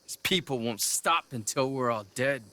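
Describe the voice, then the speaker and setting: An actor playing a proud, aggrieved young warrior in a video game, speaking in formal, earnest declarations. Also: male voice, mid-range voice, moderate pace, bright, forceful tone, lightly acted. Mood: indignant. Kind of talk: video game cinematic acting.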